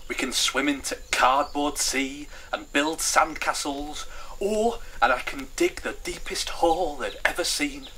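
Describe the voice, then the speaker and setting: A man's voice: medium pitch, unhurried, few pauses, sharp tone, a little hiss or hum, voice pushed up, low-quality recording. A man voicing an eager, bossy female storybook character, blunt and emphatic, with a Northern English accent.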